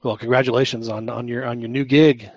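A man with a husky, slightly breathy voice hosts a casual sports chat. He repeats words and trails off as he jokes. He is close on a dry mic. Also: medium pitch, flat delivery, no echo. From Texas. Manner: halting, repeats words, joking. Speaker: podcast host.

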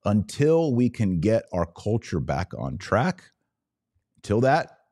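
Deep, bright-voiced man, emphatic podcast host. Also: very animated, takes it slowly. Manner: emphatic, opinionated, with short declarative statements. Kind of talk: conversational religious and political commentary.

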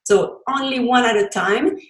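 A woman's voice: fairly high pitch, normal speed, echoey room, low-quality recording. A Belgian woman whose first language is French, speaking English with a French accent as a podcast guest, explaining at length with fillers and restarts. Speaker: podcast guest, cookbook author. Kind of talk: conversational interview.

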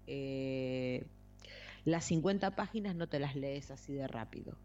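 A woman's voice: very deep voice, unhurried, warm tone. A woman with an Argentine accent chatting casually about books as a podcast co-host. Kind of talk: casual book chat. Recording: slightly muffled lo-fi audio.

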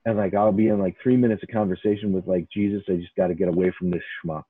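A man's voice: low-pitched, steady tone, fast, breathy edge, no echo, phone-quality sound. A man from Chicago's north side hosting a casual podcast chat, emphatic and rambling, full of restarts and "like". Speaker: podcast host.

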